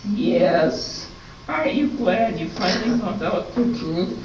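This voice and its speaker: A woman playing a deadpan, wisecracking figure at a diner in an amateur radio comedy sketch. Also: lowish voice, takes it slowly. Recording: heavy hall echo, distant mic, dull, faint noise.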